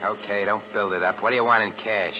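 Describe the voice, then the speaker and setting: A man's voice: on the low side, fairly even intonation, fast, close to an everyday voice. An American actor playing a brash, impatient hard-boiled crook in a 1940s radio thriller, with curt, slangy tough-guy snap. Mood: cocky. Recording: muffled, noisy old 1940s radio recording.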